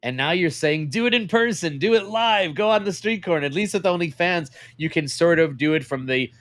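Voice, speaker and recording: A man's voice: a little high, expressive, fairly quick, a bit bright. A man co-hosting a podcast in casual, sarcastic banter, needling as he talks. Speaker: podcast co-host.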